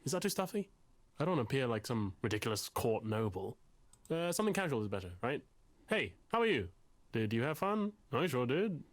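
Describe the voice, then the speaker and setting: An actor playing an anxious, bookish, self-pitying man in a game, talking in a wordy, halting, confessional way. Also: mid-range voice, very animated, lightly acted. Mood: fretful, flustered. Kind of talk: confessional dramatic game dialogue.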